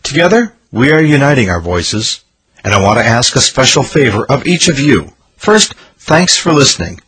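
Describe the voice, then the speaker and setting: A man's voice: medium pitch, a bit bright, husky, low-quality recording. A man hosting a radio show, reading an earnest, promotional year-in-review script.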